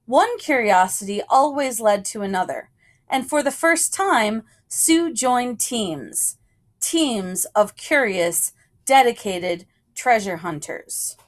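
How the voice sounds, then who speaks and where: A woman reading a children's picture book aloud in a storytelling style, with measured phrasing and deliberate pauses. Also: fairly high pitch, lively intonation.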